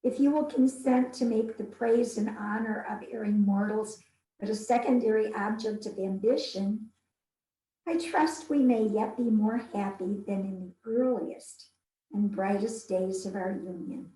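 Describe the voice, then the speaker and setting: A woman plays a devoted young wife of the 19th century, reading her letters in a dramatic style. Her voice is soft-edged and mellow, her phrasing formal and earnest. The room adds a noticeable echo. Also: medium pitch, unhurried, natural voice. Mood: tender, hopeful. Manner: formal, heartfelt, literary delivery.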